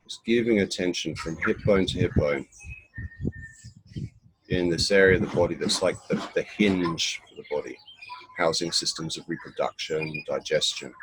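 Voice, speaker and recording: Very low-voiced man guiding meditation. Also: background noise. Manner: unhurried, instructional, with pauses between short phrases. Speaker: meditation guide. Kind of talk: guided body scan meditation.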